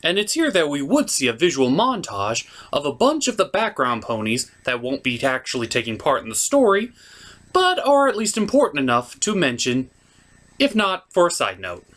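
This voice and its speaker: A man hosting a comic movie review, narrating theatrically with dramatic pauses and emphasis. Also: a little high, huge pitch swings, a bit bright.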